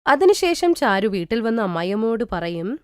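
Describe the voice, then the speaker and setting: A woman narrating a cartoon moral story in an expressive storybook style. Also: a little high, normal speed, clean tone, no echo, clean studio sound. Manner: lively, expressive.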